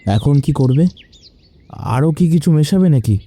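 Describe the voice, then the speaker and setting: Worried cartoon sidekick played by a man, high-pitched, muffled. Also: expressive, a little slow, mellow. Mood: puzzled. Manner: worried, pleading. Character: anxious, cautious young helper of a swindler. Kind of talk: cartoon story voice acting.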